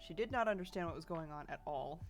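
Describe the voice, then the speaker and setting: A woman's voice: low voice, expressive, fast, soft background music. A sniffly young woman streamer chatting casually from her bedroom, rambling and laughing often as she reads chat while she draws. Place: home bedroom.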